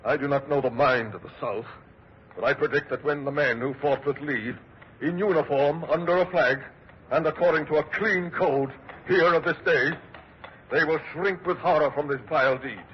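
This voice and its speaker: Actor as indignant high-pitched oratorical statesman. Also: normal speed, close to an everyday voice. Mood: grave, resolute. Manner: oratorical, emphatic, formal. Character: indignant, grieving statesman, Secretary of the Navy. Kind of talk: 1940s radio acting. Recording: dull, faintly noisy old radio broadcast.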